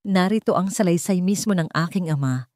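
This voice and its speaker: Lady narrator as a calm icy heiress boss woman, firm and commanding, audio drama, dry sound. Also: fairly low pitch, fairly quick, dry recording, lightly acted. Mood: cold, accusing. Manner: calm, cold, authoritative.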